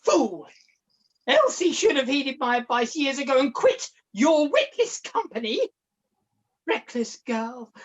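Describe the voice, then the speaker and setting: A woman's voice: high voice, very animated, unhurried, lo-fi sound. A woman playing a cantankerous, hard-of-hearing old invalid mother in a pantomime, scolding and melodramatic. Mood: scornful dismissal. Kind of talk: pantomime acting with sung passages. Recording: amateur video-call recording.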